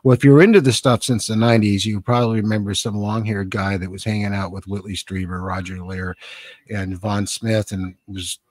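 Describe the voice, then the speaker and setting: Older American man, low-pitched, chatting casually. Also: steady tone, fairly quick, dry recording. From San Francisco, Northern California, USA. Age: 70s. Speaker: live stream host. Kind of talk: casual live-stream chat, reading and answering comments. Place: small cluttered home studio indoors. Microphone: close boom-arm studio microphone with headphones.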